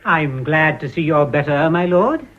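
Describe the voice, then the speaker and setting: An actor playing a sober, respectful business subordinate in a radio drama, speaking formally. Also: male voice, on the high side, expressive, unhurried, slight background noise. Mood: deferential, grave. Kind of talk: broadcast radio drama acting. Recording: dull, lo-fi radio recording.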